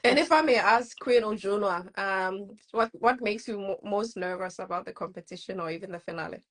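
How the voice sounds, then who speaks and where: A woman's voice: medium pitch, fairly quick. A woman co-hosting a live online interview, polite and encouraging as she asks her questions. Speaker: co-host interviewer.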